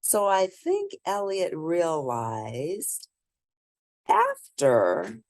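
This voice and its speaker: Woman reading a storybook, wildly animated pitch. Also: dry recording, clean studio sound. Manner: theatrical, drawn-out emphasis for young listeners. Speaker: storybook reader. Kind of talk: expressive read-aloud of a children's picture book.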